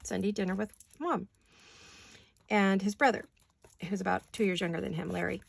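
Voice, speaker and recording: A woman's voice: medium pitch, fairly even intonation, moderate pace, creaky, no echo. A middle-aged woman rambling chattily while she works on paper crafts in her home studio, joking at her own expense. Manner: rambling, self-deprecating, talks while working. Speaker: craft vlogger.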